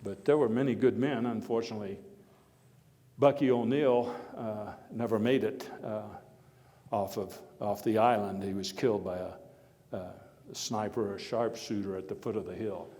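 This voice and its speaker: A man playing a hearty, opinionated former American president, telling his stories to an audience in a halting, wry and emphatic way. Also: on the low side, steady tone, mellow, natural voice. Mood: wry, measured. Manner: halting, storytelling, wry chuckles, emphatic bursts. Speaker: living-history performer giving a talk and taking audience questions. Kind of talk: first-person historical dramatization before an audience. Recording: echoey hall with long reverb.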